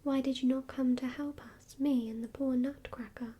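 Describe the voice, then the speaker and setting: A sick little girl complains in a high, soft whisper, voiced by a woman. Her tone is mellow and breathy throughout. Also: steady tone, moderate pace. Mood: reproachful. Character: young girl, sick in bed, reproachful.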